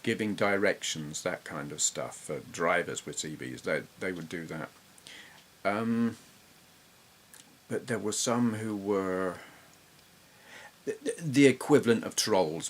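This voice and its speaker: A middle-aged man with an English accent and a low-pitched voice chats casually. He rambles and reflects, pausing as he gathers memories. There is some background noise on the recording. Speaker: retro gaming YouTuber. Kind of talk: casual gameplay commentary and reminiscence.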